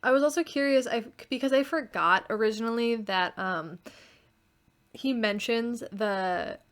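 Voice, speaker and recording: A woman's voice: on the high side, a little slow. A woman co-hosting a podcast, talking casually about a radio play. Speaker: podcast co-host.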